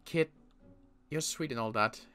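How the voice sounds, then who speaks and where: Bright, clear-voiced young man roleplaying. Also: medium pitch, faint music behind. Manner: halting, many restarts, protective older-survivor tone. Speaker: roleplay series player. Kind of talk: in-character roleplay chat.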